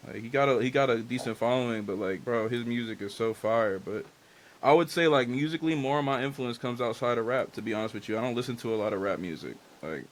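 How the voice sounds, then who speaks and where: A man's voice: mid-range voice, quick pace, slight background noise. Laid-back young man, casual and candid. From Dominican and Haitian family. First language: Spanish. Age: young adult. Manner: loose and candid, heavy on "like" and slang. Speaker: guest artist and engineer. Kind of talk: casual interview chat.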